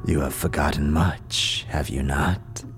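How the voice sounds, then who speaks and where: A man playing a frail, weary old scribe in a fantasy audio drama, speaking solemn archaic lines with a breathy, wheezing, whispery rasp. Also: a bass voice, fairly even intonation. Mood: probing, weary. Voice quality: breathy, wheezing, rattling with whispered trailing phrases. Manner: slow, solemn, archaic formal speech. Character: frail, weary, ancient undead-order scribe, solemn and contemptuous. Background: music behind the voice.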